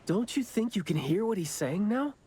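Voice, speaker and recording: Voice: medium pitch, big pitch swings, moderate pace, very noisy, lightly acted. An actor voicing a laid-back, philosophical investigator in a video game, casual, wry and musing. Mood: curious. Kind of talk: game voice acting.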